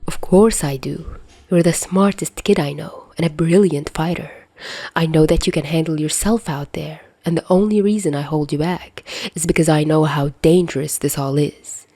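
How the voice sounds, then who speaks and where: A woman voicing a remorseful, protective male superhero mentor in a fan audio drama, softly and tenderly, with halting reassurance. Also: low voice, fairly even intonation, no room sound. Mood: remorseful, earnest. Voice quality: soft.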